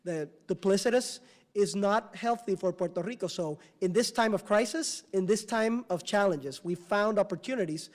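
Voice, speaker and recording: A man testifying as governor at a hearing, answering questions in a halting way with many fillers and restarts. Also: sits high. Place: congressional hearing room.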